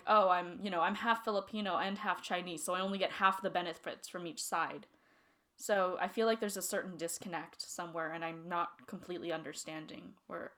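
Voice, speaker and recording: A young woman talking casually in an interview, relaxed and chatty, with frequent "you know" fillers. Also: lowish voice. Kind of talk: casual reflective interview talk.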